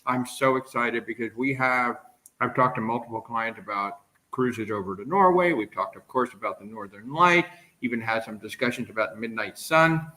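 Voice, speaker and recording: A man's voice: medium pitch, moderate pace, pushed and bright, poor audio. An American man hosting a live travel webinar, enthusiastic, laughing often and greeting viewers by name. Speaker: travel agent host.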